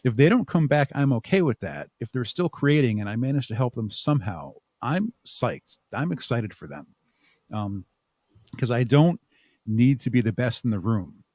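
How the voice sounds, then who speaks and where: A man's voice: mid-range voice, sing-song, moderate pace, darkish tone, no room sound. A man speaking as an interview guest in a casual, reflective way, sometimes searching for his words. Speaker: podcast guest, haunt makeup artist and audio drama creator.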